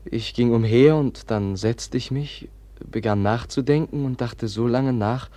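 An actor playing a meek, sincere, troubled prince in a classic German radio play, hesitant and soft-spoken, with a breathy voice. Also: male voice, medium pitch, unhurried, dry recording, natural voice. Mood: meek, earnest.

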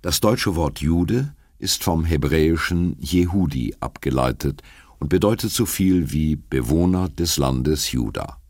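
A man reading an audiobook in a sonorous, measured narration style. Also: extremely low pitch, animated, darkish tone, no room sound, studio quality. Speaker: audiobook reader. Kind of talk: scripted narration.

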